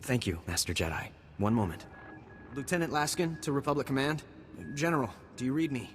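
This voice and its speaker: In a reverberant room with faint noise, a man plays a dutiful game officer of medium pitch. He sounds earnest, grateful and respectful. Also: lively intonation, fairly quick, natural voice. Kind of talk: video game cutscene acting.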